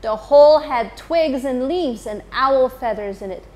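A woman in her thirties, a teacher, reading a picture book to children in an expressive storytime manner in a school library. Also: sits high, a little hiss or hum. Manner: slow, expressive, addressing children.

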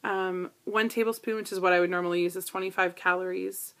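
A woman's voice: lowish voice, fairly even intonation, fairly quick. A woman in her thirties from Canada, chatting casually through a grocery haul video with frequent ums and small asides, recorded on a tablet in a living room. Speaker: vlogger. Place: living room with couch, indoors. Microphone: tablet camera built-in mic.

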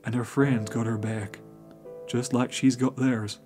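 A man voicing a big, gentle older brother stallion in a storybook reading, soft and reassuring. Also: a little slow, faint music behind, close to an everyday voice. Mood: calm, reassuring. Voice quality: low, soft. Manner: calm, reassuring.

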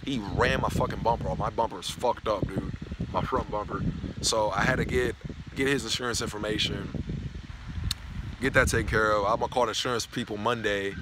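A man's voice: lowish voice, steady tone, a little fast. A young man from Tennessee vlogging outdoors on a college campus, rambling loosely and swearing freely into a phone held at arm's length. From Knoxville, Tennessee. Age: young adult. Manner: loose, profane, rambling talk. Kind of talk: casual vlog rant. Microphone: phone camera held at arm's length. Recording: outdoor phone audio with clear background noise.

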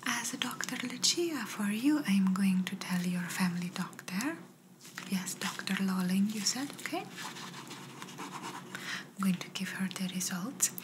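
A woman playing a gentle, reassuring doctor in an ASMR exam roleplay, speaking in a soft breathy whisper with slightly phrasing. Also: medium pitch, close to an everyday voice. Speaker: ASMR performer. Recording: noisy close-up whisper recording.

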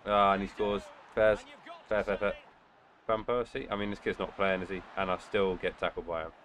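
A man's voice: low voice, normal speed. Nasal young man commentating a football game. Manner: excitable outbursts during play, muttering between. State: nasal from a cold. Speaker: gaming channel host. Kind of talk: casual gameplay commentary. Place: indoor room at home.

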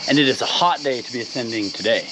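A man's voice: mid-range voice, fast talker, over music, low-quality recording. A man chatting upbeat and directly to viewers as a travel vlogger, walking outdoors through a town and up a mountain trail. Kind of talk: casual travel vlog narration.

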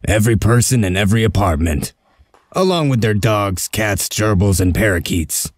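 A man's voice: on the low side, animated, moderate pace, no echo. A man voicing a stern, shrewd leader of a covert break-in in a comic dub, giving curt, authoritative orders. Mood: patient, curt.

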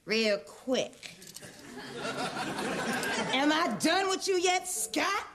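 A woman's voice: sits high, animated, fairly quick, over a noisy crowd. A woman playing a brash, indignant shopper in a comedy sketch, sassy, confrontational and insistently repeating herself. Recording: studio audience noise, background din.

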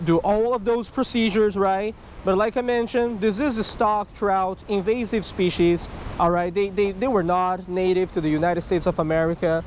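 A man giving a casual fishing tutorial to camera outdoors, animated and often checking in with short tag questions. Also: high-pitched. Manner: animated, repeatedly checks in with "right?" and "okay?". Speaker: fishing video host. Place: outdoors beside a rushing creek. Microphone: body-worn action camera. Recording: outdoor noise of rushing water. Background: rushing water.

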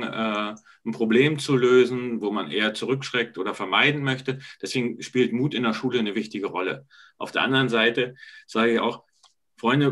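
Measured middle-aged man on video call. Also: medium pitch, fairly bright tone. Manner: thoughtful, measured, some stumbles. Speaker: school representative. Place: home room, indoors. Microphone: laptop mic on video call.

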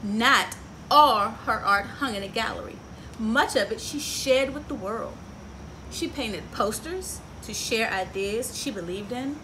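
A middle-aged woman with a fairly high voice reads a children's story in a lively, swooping way. She pauses to put questions to her young audience. She is recorded outdoors on a porch, with noticeable ambient noise. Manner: expressive, engaging children with frequent questions. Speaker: story time host. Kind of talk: picture book read-aloud for children with asides and questions.

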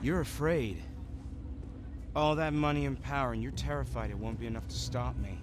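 A man's voice: mid-range voice, normal speed, noisy background, natural voice. A man playing a confident, determined young street racer in a video game, cool and resolute. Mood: defiant. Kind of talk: video game cutscene acting.

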